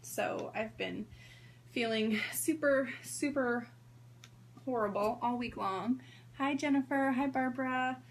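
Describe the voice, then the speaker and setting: A woman in her thirties hosting a sewing livestream in a hoarse voice, chatting casually and greeting viewers by name. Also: fairly high pitch, takes it slowly, slight background noise. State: hoarse from strep throat.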